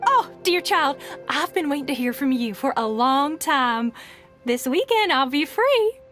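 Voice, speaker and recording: Doting middle-aged auntie, fussy and kind, high-pitched. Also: female voice, sing-song, measured pace, a bit bright, soft background music, full character voice.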